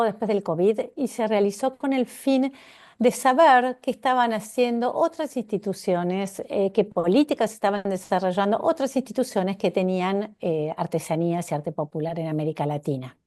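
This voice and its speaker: Woman host, mid pitch, measured intro with a few ehs. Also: warm tone. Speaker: interview host. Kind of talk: formal interview introduction.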